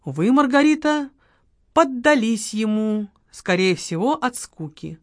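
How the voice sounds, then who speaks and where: Woman voicing a brisk ironic detective man. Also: fairly high pitch, very animated, no echo, clean studio sound, voice pushed up. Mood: impatient.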